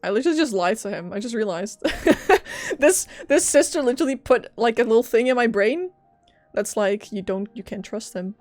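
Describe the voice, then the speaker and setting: A young woman streams a game and reacts to it out loud. Her voice is fairly high, casual and playful, full of slang, with faint music behind it. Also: fairly quick, dry recording. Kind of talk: casual live gameplay reaction.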